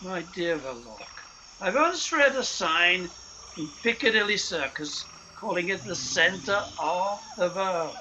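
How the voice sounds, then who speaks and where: A man playing a sinister, coldly sardonic saboteur boss in a radio play, speaking with deliberate condescension and menace. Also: high-pitched, very animated, sharp tone, muffled recording, exaggerated voice. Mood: coolly menacing. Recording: streamed recording with faint noise.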